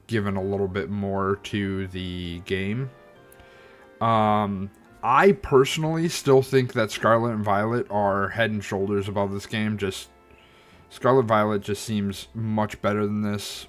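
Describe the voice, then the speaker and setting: Streamer, male, low-pitched, unhurried: hesitant game commentary with lots of ums, a touch stuffy and nasal. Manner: thoughtful, hesitant, many ums and restarts. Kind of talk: casual gameplay commentary.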